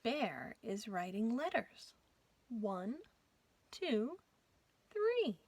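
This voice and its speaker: A woman hosting a children's storytime, reading aloud in an animated, sing-song way and putting questions to young listeners. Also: a little high, extremely slow, dry recording.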